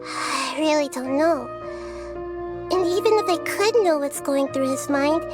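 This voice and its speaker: A woman voicing a young girl goddess who is gentle, curious and wise, speaking softly and thoughtfully in a game with music behind. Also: sits high, a little slow. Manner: soft, thoughtful, patient. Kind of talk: game voice acting.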